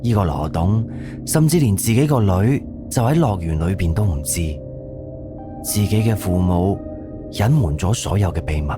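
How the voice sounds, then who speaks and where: A man's voice: low voice, animated, moderate pace. A man with a Cantonese accent giving scripted narration for an audio drama. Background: background music.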